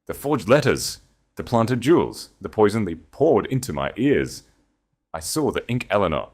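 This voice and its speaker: A man's voice: fairly low pitch, big pitch swings, normal speed, a little mellow, pitched above the performer's own voice. Male narrator as a grief-broken nobleman, choked and pleading in an audiobook romance. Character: grief-stricken duke, man, begging, broken.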